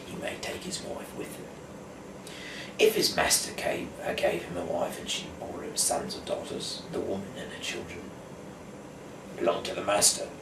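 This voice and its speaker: A man from the UK who speaks haltingly and stumbles over words as an amateur host and reader. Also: low voice. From United Kingdom. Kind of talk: casual rambling talk, then reading scripture aloud. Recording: echoey room, distant mic, clear background noise.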